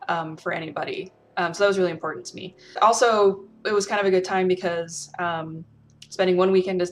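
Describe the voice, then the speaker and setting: A woman casually recounting her time as a player on a roleplay show, informal and thinking aloud as she goes. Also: on the low side. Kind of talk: casual recollection of roleplaying behind the scenes.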